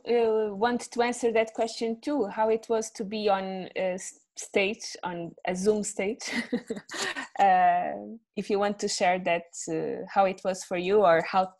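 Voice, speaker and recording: A woman's voice: medium pitch, a little mellow. A woman, hosting a video call discussion from home, hesitant with many fillers and laughs. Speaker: event host. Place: home room with bookshelves. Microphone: earphone mic on a video call.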